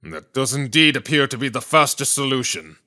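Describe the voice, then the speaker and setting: A man's voice: mid-range voice, animated, normal speed, bright, forceful tone. A man voicing a solemn, puzzled elderly judge in a video game dub, speaking formally. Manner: formal, pronouncing. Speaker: fan dub voice actor.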